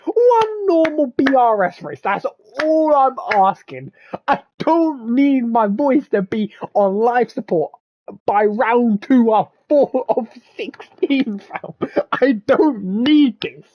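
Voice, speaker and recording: A man who commentates races, his voice hoarse and strained from shouting, rambling and stammering through a casual wrap-up. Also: very high voice, very animated, takes it slowly, no room sound. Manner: stammering, rambling, restarts often; swears, laughs at himself. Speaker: race commentator. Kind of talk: casual post-race wrap-up. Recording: dull lo-fi mic.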